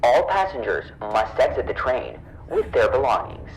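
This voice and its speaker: A man's voice: medium pitch, very animated, normal speed, a little hiss or hum, poor audio. A man reading scripted onboard train announcements in a polite, formal, carefully enunciated manner. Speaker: English teacher voicing onboard train announcements.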